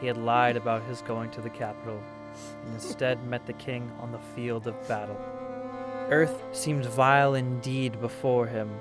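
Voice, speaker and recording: A man narrating a historical tale as a storyteller, with theatrical, measured delivery. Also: medium pitch, little pitch movement. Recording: music under the voice. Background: musical instruments playing.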